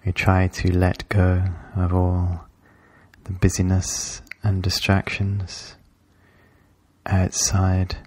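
A man leading a guided meditation in a calm, measured, instructional way, with long pauses between phrases. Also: low-pitched, slightly rough, dry recording.

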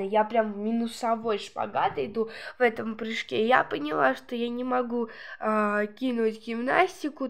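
Chatty woman with a gravelly, sniffly voice. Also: fairly high pitch, fairly bright tone. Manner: chatty, rambling, many fillers. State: slightly hoarse from a cold. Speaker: gameplay channel host. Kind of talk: casual gameplay commentary.

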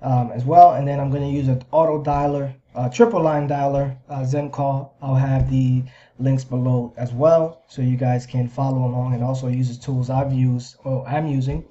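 A man in his thirties talking through a screen-share explainer casually, in a halting, repetitive way as if thinking aloud. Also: mid-range voice, steady tone, warm tone. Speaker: video host sharing a business plan. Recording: slightly dull, lo-fi microphone sound.

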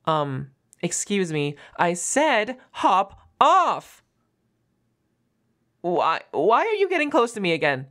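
A woman's voice: on the low side, huge pitch swings, slow, a bit bright, full character voice. A woman voicing a defensive, flustered young half-wolf girl roommate in an audio roleplay, stammering and evasive with a whiny manner. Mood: nervous, evasive.

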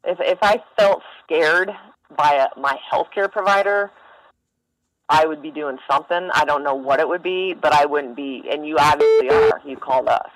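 Blunt, low-voiced woman on the phone. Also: normal speed. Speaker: mental health helpline staffer. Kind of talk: casual phone conversation. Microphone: telephone. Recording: phone call.

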